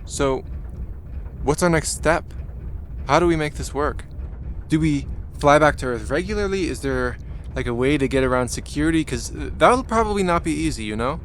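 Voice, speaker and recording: A man playing an earnest, anxious young space traveler with a halting manner in an audio drama. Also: a little high, animated, slight background noise, natural voice. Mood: unsure, worried. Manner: hesitant, halting, unsure.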